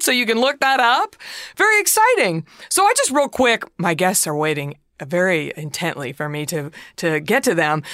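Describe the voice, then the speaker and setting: A woman in her thirties from the United States with a deep voice, hosting a podcast in a chatty, joking way with sarcastic asides. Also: bright, forceful tone, clean tone, no room sound, clean studio sound. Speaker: podcast host. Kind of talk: solo podcast chat.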